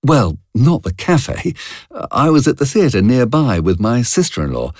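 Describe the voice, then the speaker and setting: A man's voice: mid-range voice, sing-song, unhurried, a little mellow, no room sound, clean studio sound, pitched above the performer's own voice. A man voicing an anxious, well-bred young woman who speaks in a prim, hesitant way. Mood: evasive.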